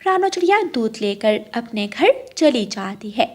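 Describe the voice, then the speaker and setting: A woman's voice: fairly high pitch, sing-song, measured pace. A woman narrating a scripted cartoon story.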